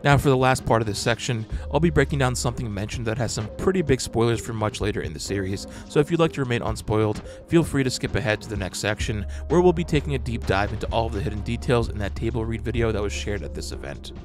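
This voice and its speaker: A man narrating a scripted breakdown as a host, in a measured, explanatory manner. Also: mid-range voice, animated. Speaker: host of a fan breakdown channel. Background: music.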